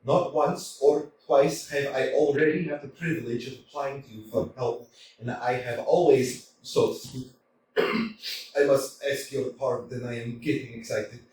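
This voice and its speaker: A man's voice: mid-range voice, fairly even intonation, a little slow, a little mellow, natural voice. A man playing a flustered, stammering thirty-five-year-old suitor who worries about his health, in a staged play reading recorded from a distance in a roomy hall. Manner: stammering, flustered, nervous laughs. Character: nervous anxious 35-year-old suitor, hypochondriac.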